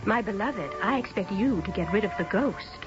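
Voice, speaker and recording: An actress playing an imperious young British fiancée in a radio drama, clipped and theatrical. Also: female voice, medium pitch, animated, normal speed, loud music behind the voice, close to an everyday voice. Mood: icily displeased. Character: haughty, imperious young English fiancée, exasperated by ghosts. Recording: dull vintage radio drama recording.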